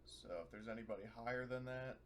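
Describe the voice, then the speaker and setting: Hesitant male tabletop player, medium pitch, casual table talk, noisy mic. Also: little pitch movement. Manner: hesitant, pausing often. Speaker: player in a tabletop roleplaying game. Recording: clearly noisy microphone.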